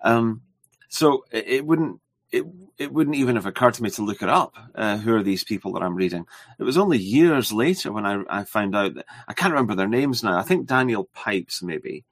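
A man's voice: on the low side, lively intonation, fairly quick, no echo, studio quality. A man co-hosting a casual chat, speaking hesitantly and stumbling over his words. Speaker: co-host.

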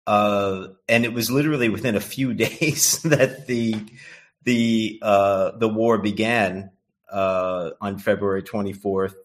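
Low-voiced American man, rambling guest. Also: steady tone, slow, a little hiss or hum. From United States. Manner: rambling, stammering restarts, many uhs. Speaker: guest writer and editor. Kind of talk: casual interview chat.

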